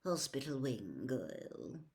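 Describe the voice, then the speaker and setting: A woman reading a storybook as a cold, sneering male teacher, speaking softly and silkily with slow, deliberate calm. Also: rumbling low, pitched below the performer's own voice, heavily acted. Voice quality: soft, silky. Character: cold, menacing adult male potions teacher, silky and sneering.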